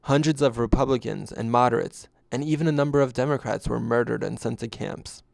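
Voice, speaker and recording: Man, scripted first-person narration, deadpan delivery, creaky fry, dry close sound. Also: medium pitch, brisk, no room sound.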